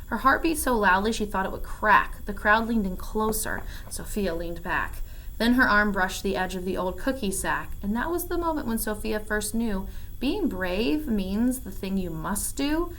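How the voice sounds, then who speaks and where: Expressive woman reading a children's storybook. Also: mid-range voice, normal speed, rough recording. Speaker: read-aloud storyteller at a school. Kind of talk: children's storybook read-aloud with casual asides. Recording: faint background noise.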